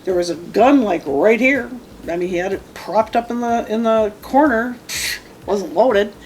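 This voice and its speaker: Middle-aged woman, casual storyteller, emphatic, repetitive phrasing, mid-pitched, some background noise. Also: measured pace, low-quality recording. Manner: animated, emphatic, repeats phrases. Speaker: storytelling guest, mother of the host.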